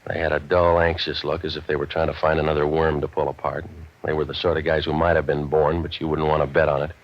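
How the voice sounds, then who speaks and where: A low-voiced, hardboiled private eye from an old radio drama. He delivers wisecracks and noir narration with a cynical deadpan. The recording is dull and dated. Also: fast talker. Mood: wry, deadpan. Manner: deadpan, cynical, tough-talking. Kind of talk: 1940s radio detective acting and noir narration.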